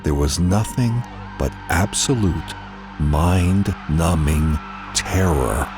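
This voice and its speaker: An extremely deep-voiced man narrates a dramatized horror story. He is deliberate and theatrical, letting phrases hang in pauses. Faint music runs behind him.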